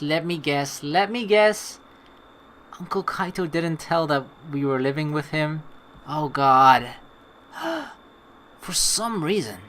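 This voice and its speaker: A woman's voice: low voice, a bit bright, slight background noise. A woman hosting a game playthrough, reading text aloud and commenting in a rambling, casually sweary way. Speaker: let's play host. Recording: game music behind the voice.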